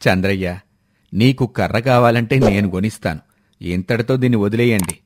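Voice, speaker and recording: A man playing a steady, shrewd village headman with a measured, authoritative manner in a moral story. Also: lowish voice, no echo, studio quality, natural voice. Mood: calm, questioning. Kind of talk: acted dialogue in a moral story.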